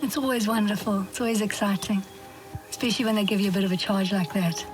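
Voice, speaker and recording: A woman's voice: medium pitch, fast talker. Enthusiastic woman wildlife guide. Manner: enthusiastic, lively. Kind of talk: documentary interview in the field. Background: music.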